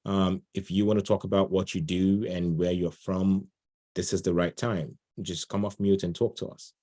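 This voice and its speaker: A man's voice: sits low, little pitch movement, moderate pace, warm tone. A man moderating an online session in an informal, conversational and chatty way, full of thanks and encouragement. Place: online video call.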